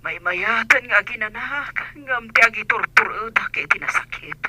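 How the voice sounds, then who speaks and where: A woman's voice: a little high, lively intonation, moderate pace, bright, forceful tone, slight background noise, rough recording. A woman playing an ailing older mother in a radio drama, speaking plaintively to her grown son through a telephone effect. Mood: pained, pleading. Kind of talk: Ilocano radio drama acting.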